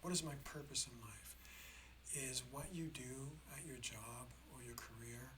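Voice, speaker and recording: A slightly hoarse man hosting a reflective spiritual talk, speaking in a halting, deliberate way and often checking in with the listener. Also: medium pitch, fairly even intonation, noisy background. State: slightly hoarse after a throat infection. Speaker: host of a spiritual learning channel.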